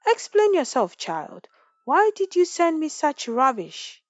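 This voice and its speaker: A woman voicing a proud, furious village chief in an animated folktale, commanding, thundering and scornful. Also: sits high, slow, no echo, pitched above the performer's own voice, full character voice.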